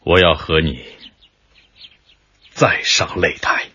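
Man acting a very husky, brooding avenger. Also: a bass voice, pushed and bright, dull audio, full character voice. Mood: grim, vengeful. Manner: slow, intense, deliberate. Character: brooding avenging son, martial artist, grim, resolute. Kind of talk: radio drama acting.

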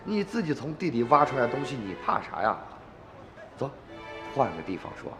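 A man's voice: medium pitch, sing-song, brisk, pitched above the performer's own voice. A man voicing a sly, pushy junk-dealer crook with a Shaanxi accent, coaxing and bullying in turns. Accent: Shaanxi dialect. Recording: reverberant radio drama with music.

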